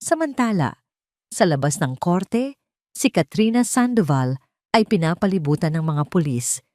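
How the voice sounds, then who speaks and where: A woman's voice: on the low side, big pitch swings, measured pace, warm tone, dry recording, clean studio sound. A woman with a Filipino accent reading a scripted dramatic story as its narrator.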